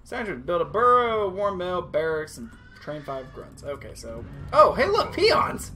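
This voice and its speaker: A man's voice: high voice, huge pitch swings, measured pace, pushed and bright. A man giving casual, rambling let's-play commentary, breaking off to talk to the units in his game. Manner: rambling, self-interrupting, talks to game units. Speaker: video game playthrough host. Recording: game music behind the voice. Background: video game music and sound effects.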